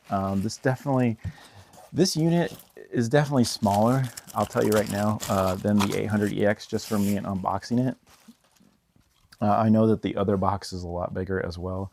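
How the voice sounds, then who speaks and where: Low-voiced man, slightly gravelly, casual reviewer. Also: a little mellow, slight background noise. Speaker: product reviewer.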